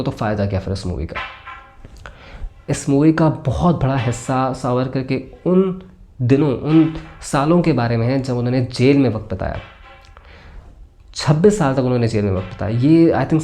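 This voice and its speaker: Man, Indian accent, film reviewer, soft-edged mellow tone, medium pitch, conversational, explanatory, switches into English phrases.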